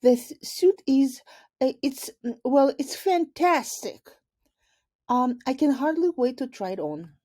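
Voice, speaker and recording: An anxious male school principal from a storybook, acted out by a woman reader. He speaks in a raised pitch, hesitating and tripping over his words. He sounds gullible and very eager to please. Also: expressive, a little slow, mellow. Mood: flustered, eager. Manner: hesitant, stammering.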